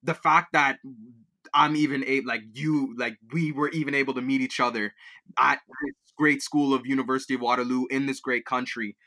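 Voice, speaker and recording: A man's voice: mid-range voice, fairly even intonation, normal speed, pushed and bright. A young man from Liberia, raised in Kenya and Canada, answering interview questions in a rambling way, with many fillers and restarts. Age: young adult. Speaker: student guest.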